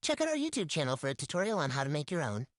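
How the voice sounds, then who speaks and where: A woman's voice: low voice, very animated, very fast, no echo, studio quality. A woman reading a short scripted promo, her voice altered by a voice-changer filter.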